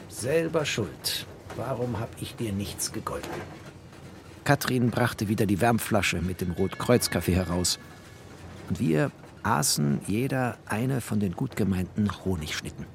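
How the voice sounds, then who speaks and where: A man playing a bookish, wry refugee narrator in a literary audio drama, speaking in terse, expressive phrases. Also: lowish voice, vocal fry. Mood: bitter farewell.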